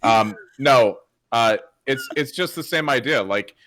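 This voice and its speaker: A man's voice: medium pitch, unhurried, a bit bright. A man chatting casually as a podcast guest, laid-back, arguing through examples and swearing.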